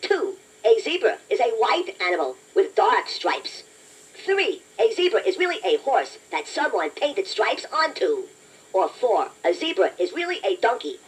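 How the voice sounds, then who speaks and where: A woman voicing a chatty, playful toy robot that hosts a children's quiz, encouraging the listener in a deliberate, self-deprecating way. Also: medium pitch, sing-song, breathy edge, full character voice. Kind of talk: scripted children's quiz narration. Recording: noisy toy cassette playback, distant from mic.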